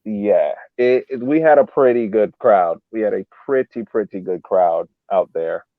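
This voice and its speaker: A man's voice: lowish voice, unhurried, dry recording. A man co-hosting a soccer fan podcast over a thin, phone-like connection, chatting casually and enthusiastically. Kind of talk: casual chat. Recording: thin, phone-like radio sound.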